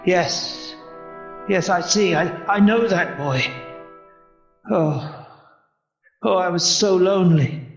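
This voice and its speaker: A man's voice: high voice, slow pace, pauses often. A man acting an elderly miser in a radio play, stammering, exclaiming and repeating his words with theatrical feeling. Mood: wistful, sorrowful. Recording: roomy, dull audio with music.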